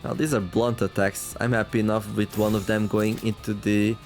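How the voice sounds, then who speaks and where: A man commentating a tactics game as he plays it, reading the story text aloud. He rambles, corrects himself often and adds wry asides, and his voice has gone a little raspy after a long day of recording. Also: fairly low pitch, little pitch movement, normal speed, a little mellow, faint music behind. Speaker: gameplay commentator.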